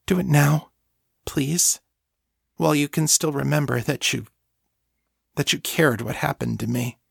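A man voicing a timid, submissive half-elf servant woman in an audiobook, hesitant and pleading in a soft voice. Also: a little high, unhurried, gravelly, slightly rough, no echo, studio quality, pitched above the performer's own voice, exaggerated voice. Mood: worried, pleading. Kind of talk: audiobook character acting.

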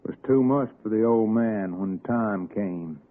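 A man's voice: on the low side, unhurried, phone-quality sound, close to an everyday voice. An actor playing a stern, threatening mountain man with an Appalachian accent in an old radio suspense drama. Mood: menacing. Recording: thin 1960s radio with music.

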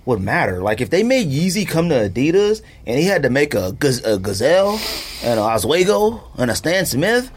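Brief: male podcast host, lively swooping delivery, slangy and opinionated, casual banter. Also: on the high side, moderate pace, husky. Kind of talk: casual sneaker chat.

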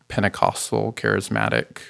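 A man in his thirties, a therapist and youth pastor, talking earnestly about his background in a relaxed interview. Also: fairly low pitch, normal speed, creaky. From Chile. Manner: earnest, relaxed storytelling with frequent fillers. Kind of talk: casual interview talk.

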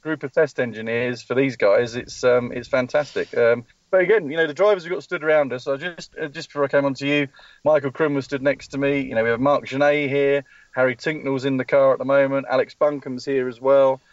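A man's voice: medium pitch, fairly even intonation, fairly quick, no room sound, dull audio. A man speaking as a motorsport team spokesperson in a live call-in interview, rambling with many ums and restarts, calling in from a race track. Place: at a race track testing session.

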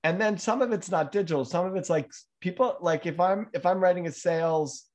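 A man's voice: sits high, fairly quick, darkish tone. Man host thinking aloud, hedging his questions. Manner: thinks aloud with hedges and fillers, chimes in with frequent agreement. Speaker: interview host. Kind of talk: casual interview questions.

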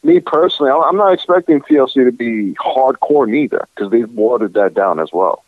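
A man chimes in on a casual wrestling podcast, teasing and emphatic. His voice swings widely in pitch and comes through thin and phone-like. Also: expressive, brisk, dry recording. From United States.

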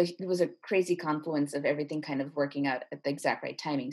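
Low, husky-voiced woman, enthusiastic guest. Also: low-quality recording. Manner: enthusiastic, effusive. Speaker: playwright and screenwriter, interview guest. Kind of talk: casual interview conversation.

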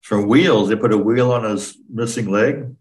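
A man's voice: lowish voice, measured pace, a little mellow, a little hiss or hum. A man co-hosting a podcast, bantering casually about the news and tossing in quips, slightly distant from the microphone. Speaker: podcast co-host.

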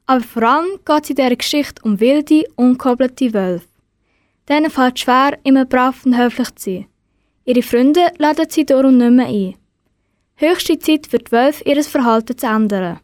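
High, dry-sounding female radio presenter. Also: moderate pace, a little mellow, no room sound. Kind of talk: scripted radio introduction.

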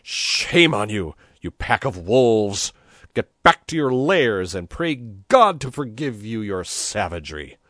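Guy doing a big angry village priest from a storybook, blustery n indignant, really animated. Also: fairly low pitch, unhurried, dry recording, clean studio sound, pitched above the performer's own voice. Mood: roaring, commanding. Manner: bellowing, indignant, emphatic. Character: huge, hot-tempered, blustering village priest. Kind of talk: storybook character reading.

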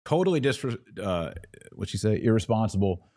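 A man with a low voice hosts an informal commentary podcast. He explains his points emphatically, as if walking a listener through each one. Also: sing-song, moderate pace, mellow. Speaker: podcast host. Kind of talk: informal political commentary reacting to clips.

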